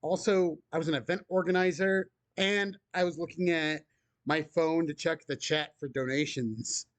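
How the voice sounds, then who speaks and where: A man with a fairly high voice talks calmly and evenly in casual conversation. He rarely raises his voice. The sound is dry and close. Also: normal speed, no echo. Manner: calm, even-tempered talker. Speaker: game master, video creator. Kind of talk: casual podcast chat.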